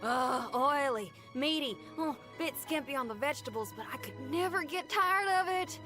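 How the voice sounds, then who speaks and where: A woman voicing a spirited, brash but good-hearted young female rock musician in a video game, chatty and folksy in her expressive delivery. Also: high-pitched, normal speed. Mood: enthusiastic. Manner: folksy, colloquial, chatty and enthusiastic. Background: background music.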